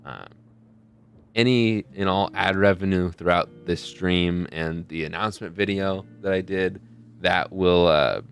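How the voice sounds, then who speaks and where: A man's voice: deep voice, steady tone, measured pace, vocal fry, smooth. A young man hosting a charity live stream in a casual, rambling way, often losing his train of thought. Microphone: desk mic close to a face camera.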